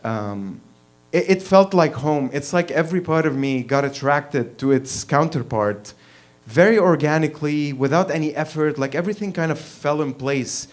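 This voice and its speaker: A man in his thirties from Lebanon telling a personal story at an open mic, blunt and profane, laughing and getting louder as he gets worked up. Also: a little high, lively intonation, moderate pace. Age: 30s. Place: small performance venue, in front of an audience.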